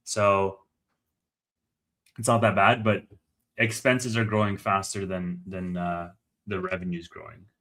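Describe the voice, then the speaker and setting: A man's voice: deep voice, flat delivery, a little slow, pauses often, fairly bright tone. A man hosting a casual live commentary, conversational and thinking aloud as he reads out figures. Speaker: live stream host.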